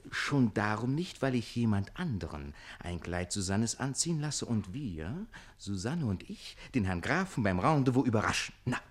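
Cocky scheming manservant, theatrical and boastful, very lively melody, classic radio drama. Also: male voice, fairly low pitch, moderate pace, hardly any pauses. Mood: sly scheming. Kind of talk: classic German radio play acting.

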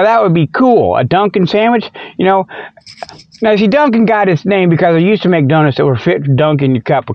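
A man's voice: high voice, no room sound, muffled recording. An older man hosting a comic radio segment, rambling casually in a folksy, repetitive, digressive way. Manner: folksy, drawling colloquial phrasing, repetitive and digressive.

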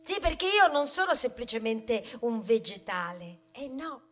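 A high-pitched, aggrieved talking wheat grain. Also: female voice, big pitch swings, measured pace. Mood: pompous lecturing. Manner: plaintive, conversational asides to the audience, sudden declamatory swells. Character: aggrieved talking grain of wheat, male, proud and self-justifying. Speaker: stage actor performing a monologue. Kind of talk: theatrical satirical monologue, rhetorical, with bursts of song.

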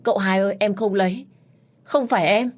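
A woman voicing a frightened young female servant who begs in a high, tearful voice. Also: fairly quick, close to an everyday voice. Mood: pleading.

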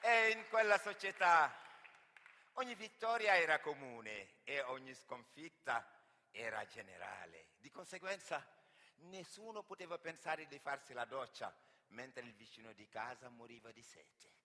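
A man's voice: medium pitch, big pitch swings, measured pace, a faint crowd. A man from Senegal with a Senegalese accent, an actor and griot performing a dramatic, declamatory theatrical monologue. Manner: dramatic, declamatory, swinging between raised voice and hushed delivery. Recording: reverberant hall with long echo.

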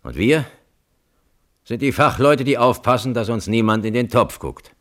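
A man's voice: mid-range voice, animated, normal speed, fairly bright tone, natural voice. Man as hardline colonel on the witness stand, precise and formal, widely varied intonation. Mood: insistent. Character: hardline male military security colonel testifying as witness. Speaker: witness at a hearing. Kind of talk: radio play courtroom acting.

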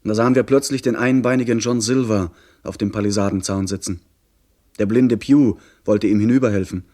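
An actor playing a young gentleman who recounts a pirate adventure as the narrator of a radio play, in measured literary storytelling. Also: on the low side, steady tone, natural voice. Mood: tense, narrating.

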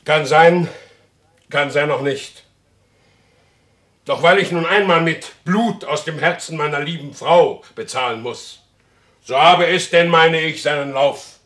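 An actor in a German radio play, playing a proud, stubborn horse dealer who demands justice in solemn, formal speech. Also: male voice, on the high side, measured pace, pauses often, a bit bright. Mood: aggrieved insistence.

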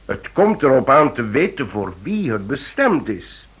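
A husky, coaxing police chief. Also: male voice, mid-range voice, very animated, measured pace, full character voice. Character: persuasive male police chief pressing a friend for help. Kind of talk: Dutch-language radio play acting.

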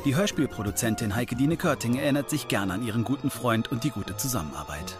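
A man's voice: mid-range voice, lively intonation, fast talker. A man reading a scripted documentary voice-over as a reporter. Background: music.

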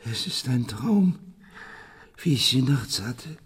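An actor playing a blind, brooding, commanding gentleman broken by misfortune in a German radio play, with a husky, breathy, intense delivery. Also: mid-range voice, expressive, slow pace, full character voice. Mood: overwhelmed, yearning. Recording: studio radio play with music.

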